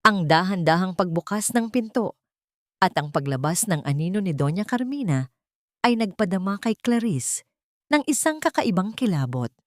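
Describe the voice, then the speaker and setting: A woman's voice: medium pitch, very animated, normal speed, dry recording, clean studio sound. A woman reading scripted dramatic story narration in a solemn, suspenseful manner. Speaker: narrator of audio drama stories.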